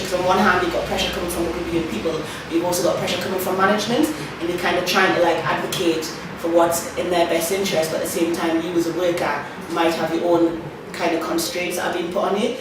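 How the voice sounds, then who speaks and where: Woman, youth worker, low-ish voice, very echoey room, distant mic. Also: background noise. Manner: loose, hesitant, many fillers. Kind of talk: reflective interview talk.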